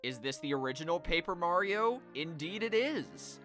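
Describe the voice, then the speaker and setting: A man live streaming a video game, chatting to viewers and commenting in an animated way. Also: on the high side, normal speed, faint music behind. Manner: animated, voice-acts game dialogue.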